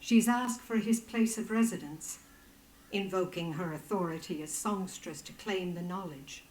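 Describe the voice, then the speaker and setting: Woman playing a low, poised, calculating matron, echoing. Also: a little mellow, a little hiss or hum, lightly acted. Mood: courteous. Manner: formal, cool, deliberate. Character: poised, calculating female head matron of a powerful order. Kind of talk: video game dramatic dialogue.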